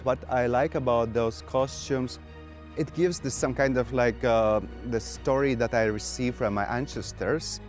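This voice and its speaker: A man's voice: mid-range voice, unhurried, over loud music. A man whose first language is Latvian, a dancer, speaking thoughtfully in an interview and searching for words.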